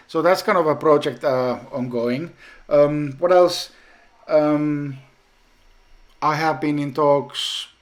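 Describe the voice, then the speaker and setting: A man whose native language is Finnish, talking casually in a home brewing vlog update, with many pauses and restarts. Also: medium pitch. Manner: slow, hesitant, many ums and restarts.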